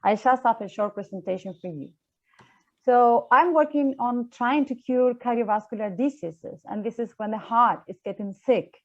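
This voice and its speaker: A woman from Argentina, explaining her research to schoolchildren in halting English full of fillers. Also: medium pitch, a little mellow, muffled recording. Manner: halting, with fillers and small slips. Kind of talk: simple explanatory school talk.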